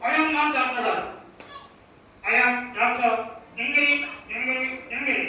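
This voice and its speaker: Puppet role: high, childlike, bossy and mischievous self-styled doctor, argumentative, recorded in a very echoey hall. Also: male voice, fairly even intonation, a little slow, bright, forceful tone, full character voice. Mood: pompous. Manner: insistent, argumentative, repeats words. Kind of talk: ventriloquist puppet show for children. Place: large church hall with children's audience. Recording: echoey hall, distant mic, noisy crowd.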